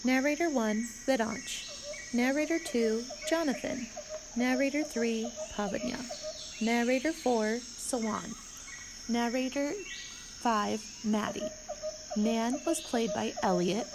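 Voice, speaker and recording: A woman with a slightly high voice makes a scripted announcement. She reads each item slowly and leaves pauses between them. Clear background noise with insect and animal ambience runs behind her. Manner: measured, pausing between items. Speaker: announcer reading cast credits. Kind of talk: scripted announcement, reading a list.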